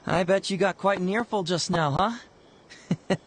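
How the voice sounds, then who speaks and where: A man's voice: high-pitched, slow pace, background noise. An actor playing a confident, playful young male detective in a video game, explaining things breezily.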